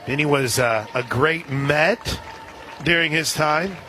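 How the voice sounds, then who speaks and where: A man calling softball play-by-play on the radio, dropping into casual banter between pitches. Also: takes it slowly. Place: outdoor softball stadium broadcast position. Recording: outdoor stadium crowd and ambient noise. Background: crowd noise.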